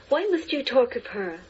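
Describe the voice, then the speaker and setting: A woman's voice: sits high, muffled recording, heavily acted. A woman in a radio drama playing a devoted, coy eighteenth-century servant girl of fifteen in a trance, speaking deferentially. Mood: coy, intimate. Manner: deferential, slow, coquettish.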